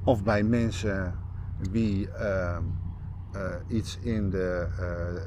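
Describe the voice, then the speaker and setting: A man's voice: deep voice, fairly even intonation, drawn-out words, noisy background. A middle-aged man chatting casually as a podcast co-host, reflective and circling back to his point. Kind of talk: casual chat.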